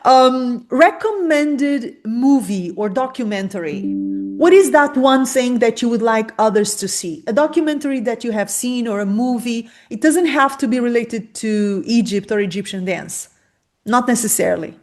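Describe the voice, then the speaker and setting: A woman's voice: fairly high pitch, moderate pace, faint music behind. A woman who grew up speaking Portuguese and Spanish, hosting a podcast interview and talking openly and expressively. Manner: expressive, talks through her feelings openly. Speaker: podcast host. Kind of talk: conversational interview.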